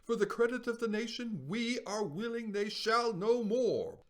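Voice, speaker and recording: Man as a high, sniffy newspaper critic. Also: lively intonation, measured pace. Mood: dismissive. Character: dismissive old-time male small-town newspaper critic. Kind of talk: dramatized editorial reading in radio play.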